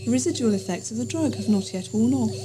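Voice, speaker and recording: An actress playing a villain's scheming, secretly ambitious assistant in an audio drama, composed, icy and formal. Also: female voice, a little high, lively intonation, quick pace, music in the background, natural voice. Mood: coolly mocking. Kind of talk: audio sci-fi drama acting.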